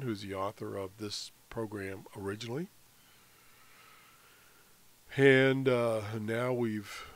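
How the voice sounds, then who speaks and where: A man explains software in an unscripted screencast tutorial, halting often in mid-sentence as he goes. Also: medium pitch. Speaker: amateur radio hobbyist presenting a tutorial.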